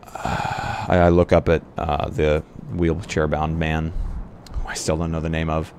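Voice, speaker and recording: A man playing a tabletop roleplay game, improvising casually with hesitant pauses mid-sentence. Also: very deep voice, completely flat delivery, darkish tone. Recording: noisy live stream.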